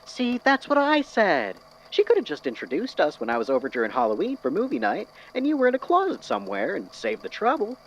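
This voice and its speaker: An actor playing an eccentric, courteous, musing storyteller with a folksy, wordy, theatrical manner in an audio drama. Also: male voice, high-pitched, huge pitch swings, fairly quick, hardly any pauses. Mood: affable, chatty. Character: eccentric, courteous, philosophical storyteller man from Tennessee. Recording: dull lo-fi audio with clear noise.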